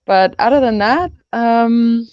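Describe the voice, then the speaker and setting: A woman's voice: on the high side, slow, no room sound, lo-fi sound. A woman speaking English as a second language, chatting as an interview guest, often searching for words and laughing. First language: not English. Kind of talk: casual interview chat.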